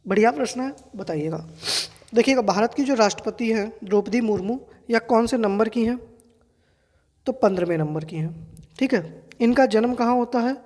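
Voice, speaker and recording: A woman's voice: on the high side, moderate pace, darkish tone. A woman with an Indian accent teaching an exam quiz lesson, didactically repeating key facts and posing questions to her students. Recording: long reverberant hall-like echo.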